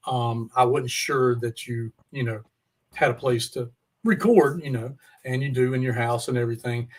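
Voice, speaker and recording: Male podcast host, medium pitch, informal and conversational, loose slangy phrasing with occasional mild cursing. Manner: informal, mild swearing, y'all. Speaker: podcast host interviewing a musician. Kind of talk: casual chat.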